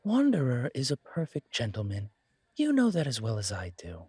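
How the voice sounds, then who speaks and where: A man's voice: mid-range voice, huge pitch swings, normal speed, a little mellow, husky, no echo. A man voicing a cheerful, fussy pointy-eared male shopkeeper in an audio roleplay, prim and polite with a fluttery stammer. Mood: playful, genteel.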